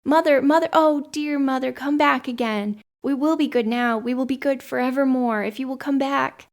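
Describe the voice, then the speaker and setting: A woman voicing two frightened little girls who plead together, in a raised, loud voice. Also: high-pitched, normal speed, voice pushed up.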